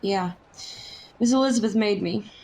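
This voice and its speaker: An actress playing a plucky, streetwise young Victorian match girl in a historical audio drama, brisk, slangy and teasing. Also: medium pitch, darkish tone, lightly acted, rough recording. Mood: friendly, matter-of-fact.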